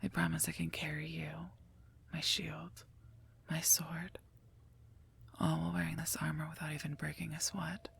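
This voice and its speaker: A woman as a whispering, gravelly protective werewolf knight. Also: sits low, flat delivery, moderate pace, pauses often, heavily acted. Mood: firm, confident. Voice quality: breathy, soft whisper. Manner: formal, gently scolding, earnest. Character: stern, protective female werewolf knight guarding royalty. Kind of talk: whispered ASMR roleplay.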